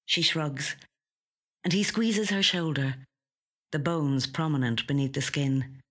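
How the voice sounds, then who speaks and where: A woman actor reading a literary short story in a measured, dramatic and expressive way. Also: low voice. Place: recording studio.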